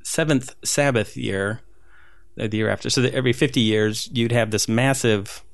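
A man's voice: mid-range voice, steady tone, moderate pace, creaky, dry recording, studio quality. A man who grew up in Southern California, talking casually as a guest with many fillers and restarts. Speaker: street photographer guest. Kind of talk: casual interview conversation.

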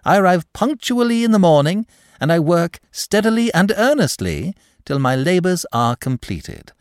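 A man voicing a suave, impudent young gentleman clerk for an audiobook, in flowery, ingratiating, over-polite speech. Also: high voice, big pitch swings, moderate pace, dry recording, clean studio sound, voice pushed up. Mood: smooth, self-assured. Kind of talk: audiobook character dialogue.

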